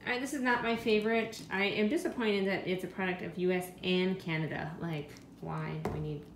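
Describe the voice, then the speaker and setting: A woman in her thirties chats casually about her groceries, often stopping to recall prices. Her voice sits a little low. The sound is roomy, with a clear hum of household noise behind her. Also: moderate pace. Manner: chatty, thinking aloud about prices. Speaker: vlogger. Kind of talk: casual grocery haul narration. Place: home kitchen. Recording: echoey room with steady background noise.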